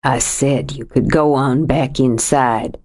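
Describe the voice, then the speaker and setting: Woman putting on a low, grieving widower. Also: steady tone, takes it slowly, a little mellow, full character voice. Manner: low, heavy and bitter. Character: grieving stubborn middle-aged widower, rough-edged. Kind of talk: audiobook character reading.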